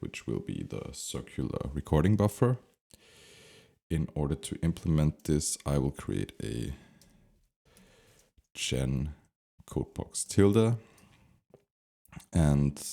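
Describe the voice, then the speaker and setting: A man giving an unscripted software tutorial, thinking aloud step by step as he instructs. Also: rumbling low, gravelly, breathy edge.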